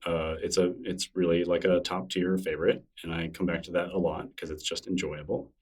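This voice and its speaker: A man with a very low voice talks his way through answers in a relaxed, wandering manner, circling back with fillers and restarts. He sounds set back from the microphone. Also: brisk, slightly rough, far from the mic. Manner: thoughtful, rambling, with frequent fillers and restarts. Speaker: interview guest, newsletter creator. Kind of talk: casual conversational interview.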